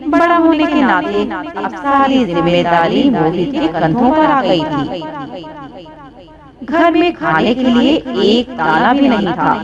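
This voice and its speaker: A woman narrating a scripted moral story as a storyteller. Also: fairly high pitch. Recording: dull audio, loud crowd bed behind.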